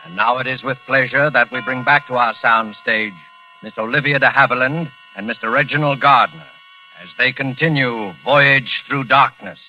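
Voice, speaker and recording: A male announcer speaks formally and dramatically in 1940s radio style. His pitch is medium, and music plays clearly behind him on the old recording. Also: moderate pace, pushed and bright, lo-fi sound.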